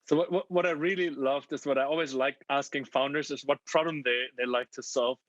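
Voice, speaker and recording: A man's voice: medium pitch, steady tone, fairly bright tone, no echo. Man moderating over a dull, dry call. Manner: friendly, halting, with many fillers and restarts. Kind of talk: hosting a fireside chat. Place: home room. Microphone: video call.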